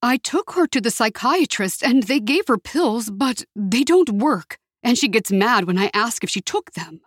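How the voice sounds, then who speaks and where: A woman's voice: on the high side, lively intonation, normal speed, no echo, clean studio sound, voice pushed up. A woman voicing an anxious older aunt from Mexico, with a trembling, pleading manner and a touch of disapproval. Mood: worried.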